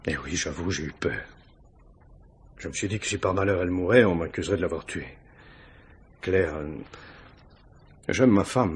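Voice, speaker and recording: Casting: middle-aged husband confessing to police, low and very husky, hesitant, self-justifying; vintage radio crime drama. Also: male voice, exaggerated voice. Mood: anxious, pleading. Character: anxious married man confessing to a police inspector. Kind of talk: 1950s French radio crime drama acting.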